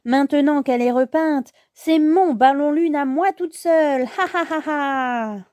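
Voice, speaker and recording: A woman's voice: high-pitched, unhurried, darkish tone, dry recording. A woman voicing a gloating, teasing young girl villain in a children's storybook.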